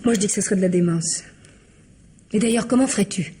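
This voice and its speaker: An actress playing an anxious, doubting wife in a French radio drama, with an accent from France and an agitated, protesting manner. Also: female voice, medium pitch, fast talker, warm tone, husky. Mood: shocked, protesting. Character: anxious, doubting wife alarmed by her husband's scheme.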